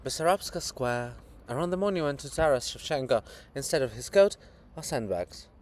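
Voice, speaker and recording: A man's voice: mid-range voice, lively intonation, normal speed, slight background noise. A man giving a dramatic reading of a play, delivering its stage directions. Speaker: reader of a play.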